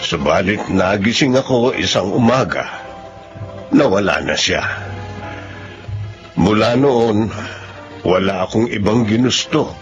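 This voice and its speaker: A stern, sentimental Filipino father in his sixties in a radio drama. His voice sits at a medium pitch. The recording is slightly muffled, with prominent music. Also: lively intonation, slow, pauses often. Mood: wistful. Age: 60s. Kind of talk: radio drama acting and narration. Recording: dull lo-fi sound under loud music.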